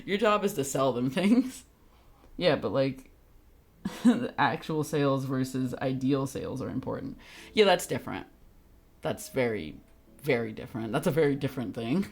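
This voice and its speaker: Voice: medium pitch, lively intonation, moderate pace, creaky, husky. A young adult game streamer chatting casually with viewers in a sweary, giggly, teasing manner. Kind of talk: casual livestream chat with viewers.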